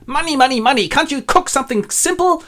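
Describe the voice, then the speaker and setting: A miserly old father from a comic stage story, acted out by a man. He is suspicious and hot-tempered. His voice jumps high and swings widely as he bellows. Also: huge pitch swings, fast talker. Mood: grasping, indignant. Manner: shrill outbursts, bellowed insults.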